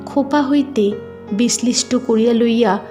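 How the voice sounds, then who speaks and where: Very slow-paced woman storyteller. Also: a little high, mellow, faint music behind. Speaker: story narrator. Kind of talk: scripted literary narration.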